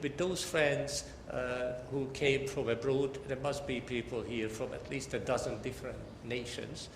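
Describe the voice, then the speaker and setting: An older man from Hungary with a Hungarian accent gives a formal academic lecture as a professor, in measured phrases that often pause mid-sentence. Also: medium pitch. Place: large lecture hall, talking to an audience. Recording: echoey lecture hall with background noise.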